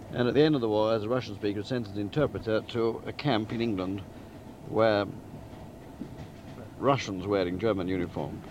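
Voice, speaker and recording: A man giving a deliberate, emphatic and articulate spoken summary of a story, like a novelist outlining a book. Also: lowish voice, background noise. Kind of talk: spoken plot summary, literary talk.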